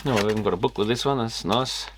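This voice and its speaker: Low-pitched man thinking aloud. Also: fast, very noisy. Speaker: host of an electronics repair video channel. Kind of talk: casual commentary mixed with reading a manual aloud.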